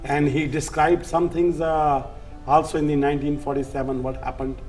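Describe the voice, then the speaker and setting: Earnest male TV host, slightly high voice. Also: little pitch movement, darkish tone, faint music behind. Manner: earnest, deliberate, with pauses and fillers. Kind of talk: TV interview.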